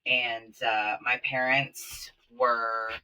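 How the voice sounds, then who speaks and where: A man raised in Kenya, a podcast guest in casual interview chat, talking with many fillers and growing lively as he retells stories. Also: mid-range voice, extremely slow, distant mic, a little hiss or hum, rough recording. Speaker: podcast guest, company founder. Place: small closet studio, in person.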